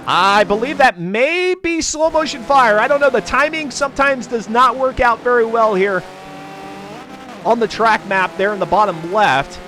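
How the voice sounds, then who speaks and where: A man's voice: very high voice, moderate pace, sharp tone. A man commentating live on a racing stream as its host, in folksy, chatty announcer patter with jokey asides. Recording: racing car noise behind the voice. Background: car engines and race ambience.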